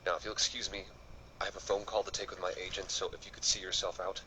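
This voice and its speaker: A man's voice: deep voice, level tone, fast talker, sharp tone, slight background noise, poor audio. An actor playing an evasive adult man in a video game who coldly dismisses a snoop. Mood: curt.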